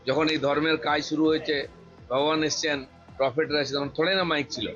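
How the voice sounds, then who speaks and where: A man's voice: on the high side, flat delivery, fast, fairly bright tone, soft background music. A man with a Bengali accent making an emphatic, rhetorical public statement in a slightly dull-sounding clip.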